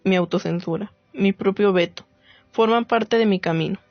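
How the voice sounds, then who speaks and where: A woman playing a reflective writer, narrating a radio play in a solemn, deliberate, literary way. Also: medium pitch, no room sound, lo-fi sound, natural voice. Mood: solemn reflection. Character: reflective woman writer narrating her search for women's war stories.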